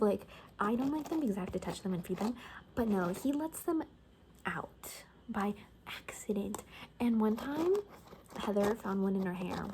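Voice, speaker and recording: Soft mellow lady doing gossipy asmr roleplay, rambly n wry, slips into whispers, bit of noise behind her. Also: mid-range voice, rough recording. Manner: casual, rambling, wry, often dropping into whispers. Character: gossipy female bunker survivor in a zombie apocalypse. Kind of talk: soft-spoken ASMR, improvised gossipy storytelling.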